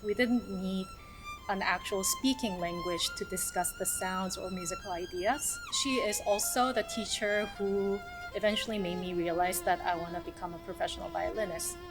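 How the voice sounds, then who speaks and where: Woman musician from South Korea over music. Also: mid-range voice. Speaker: orchestral violinist. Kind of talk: casual scripted-outline self-introduction to camera.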